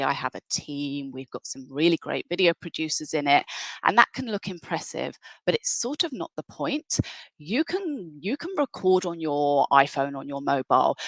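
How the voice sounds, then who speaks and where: A woman's voice: low-pitched, fairly bright tone, dry recording. A woman presenting a webinar in an enthusiastic, conversational way. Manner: enthusiastic, conversational, with fillers and restarts.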